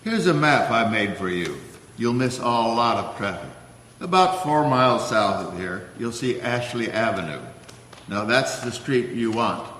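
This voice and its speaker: An actor playing a kindly, grandfatherly old Santa Claus in a radio play, gently fussy in the way he talks. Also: mid-range voice, lively intonation, measured pace, roomy sound, in a large hall, a little hiss or hum, poor audio.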